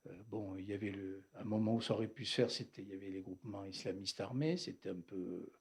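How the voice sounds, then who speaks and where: A man's voice: on the low side, quick pace. A man talking through a personal memory at a bookshop event, reflective and hesitant, with fillers and restarts. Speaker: psychoanalyst speaking at a bookshop talk.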